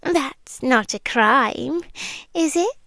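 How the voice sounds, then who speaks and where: A woman plays a British vampire girlfriend in an intimate ASMR roleplay, her voice high and extremely slow. She is gentle and coaxing, yet possessive and quietly menacing. Her soft words linger and often drop into whispers, very close and dry on the mic. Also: expressive, no echo, full character voice. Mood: teasing, probing. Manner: soft, deliberate, lingering pauses, slipping into whispers. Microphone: close microphone, dry.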